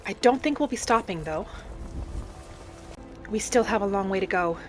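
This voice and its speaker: Woman doing a calm earnest elf wanderer, storybook-formal game roleplay narration, music clearly behind her. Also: mid-range voice, natural voice. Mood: calm, musing. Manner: measured, formal storybook phrasing. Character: Venra, earnest female wood elf wanderer, serene and devout. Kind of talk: in-character roleplay narration of a fantasy video game.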